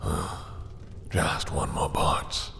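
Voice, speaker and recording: A man playing a possessive, sadistic vampire in a roleplay audio drama, with silky, condescending menace and gravelly murmurs that drop toward whispers. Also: extremely slow, fairly bright tone, big-room sound, a little hiss or hum. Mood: gloating, seductive. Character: possessive, sadistic male vampire, dominant and seductive.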